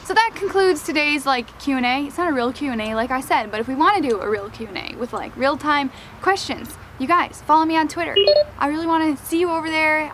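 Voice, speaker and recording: A woman's voice: high voice, fairly bright tone, a little hiss or hum. A young woman from Wisconsin with a slight Wisconsin accent, chatting casually to camera in a Q and A vlog, full of "like" fillers. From United States, Wisconsin. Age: young adult. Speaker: vlogger.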